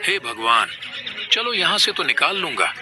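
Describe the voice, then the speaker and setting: A man's voice: on the high side, sing-song, moderate pace, over music. A man voicing an earnest, devoted monkey-god warrior in a cartoon, speaking respectfully with pleading and exclamations. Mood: wondering. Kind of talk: animated devotional story dialogue.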